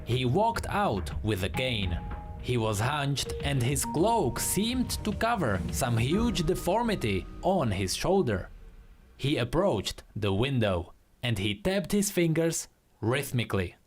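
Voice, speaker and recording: A man narrates a dramatic tale in a measured, theatrical manner. His pitch moves expressively while the pace stays slow, with faint music underneath. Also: big pitch swings. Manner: measured, theatrical, acts out dialogue. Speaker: story narrator.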